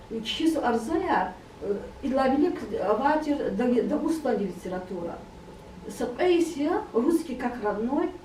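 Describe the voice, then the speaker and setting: A woman speaks at a round-table meeting, pausing often with fillers and restarting her phrases. Her voice is mellow and set somewhat high. She sounds distant from the mic, in an echoey room with faint noise behind her. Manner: halting, many fillers and restarts. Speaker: education researcher. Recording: roomy hall from far off.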